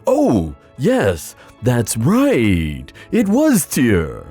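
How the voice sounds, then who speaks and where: Guy playing a wistful theatrical sea god for asmr fantasy roleplay, slow and super swoopy, musing pauses, music behind. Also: medium pitch, huge pitch swings, dark tone, breathy edge, exaggerated voice. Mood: pensive. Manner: hesitant musing pauses, amused chuckles, drawn-out phrasing.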